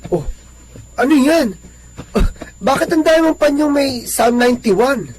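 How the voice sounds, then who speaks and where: A man's voice: extremely high pitch, lively intonation, measured pace, darkish tone, a little hiss or hum, rough recording. A man playing a caring, worried young son in a religious radio drama, speaking in a concerned, questioning manner.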